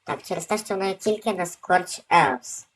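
A woman's voice: fairly low pitch, normal speed, a little hiss or hum, low-quality recording. A woman hosting a gaming video with casual, off-the-cuff gameplay commentary.

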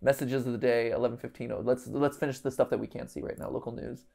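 Creaky-voiced man thinking aloud. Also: mid-range voice, steady tone, fast, a little mellow. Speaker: livestream host. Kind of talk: casual live puzzle-solving commentary, thinking aloud. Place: indoor room, livestream set.

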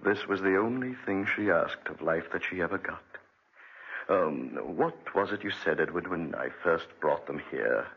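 A man's voice: low-pitched, animated, moderate pace, vocal fry, breathy edge, exaggerated voice. An actor playing a guilt-ridden, bitter Englishman with a brooding, dramatic delivery in a 1940s radio drama. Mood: distracted, straining. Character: haunted, guilt-ridden Englishman, bitter former debtor prisoner. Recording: dull restored 1940s radio recording.

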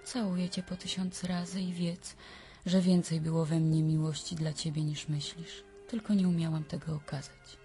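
An actress playing a headstrong young runaway from a good family, with a husky voice, in a radio drama. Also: female voice, low voice, little pitch movement, moderate pace, warm tone, soft background music. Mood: anxious, tender.

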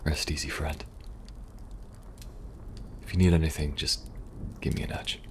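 A man playing a weary, kind young government agent in a soft-spoken, halting ASMR roleplay. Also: very deep voice, steady tone, slight background noise. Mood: calm, reassuring. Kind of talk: intimate ASMR audio roleplay.

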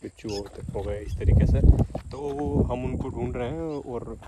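A young man vlogging to a handheld phone from an open safari jeep in a dry forest, talking in a relaxed, chatty way. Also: mid-range voice, a little slow. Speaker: travel vlogger. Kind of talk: casual vlog narration. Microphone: phone held at arm's length. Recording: outdoor recording with clear background noise.

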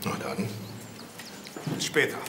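An actor playing a brisk, matter-of-fact police detective who confronts people in a crime audio drama. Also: male voice, echoey room, background noise, lightly acted. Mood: accusing.

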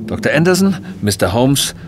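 A male actor as a self-satisfied police inspector in a radio play. He talks bluntly at an average male pitch, brushing things aside in everyday speech. Background ambience is clearly audible. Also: big pitch swings, normal speed, noisy background, lightly acted. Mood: matter-of-fact. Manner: matter-of-fact, dismissive, colloquial. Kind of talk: German audio drama acting.

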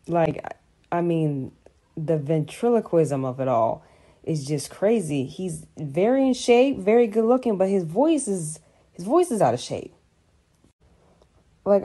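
A young woman giving a casual, teasing comedic reaction into a phone, halting for emphasis. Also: low-pitched, mellow. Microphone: phone at arm's length.

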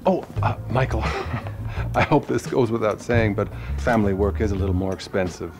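An actor playing a glib, money-minded middle-aged therapist in a satirical video game cutscene, condescending and falsely soothing. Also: male voice, lowish voice, normal speed, music in the background, natural voice. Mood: smug.

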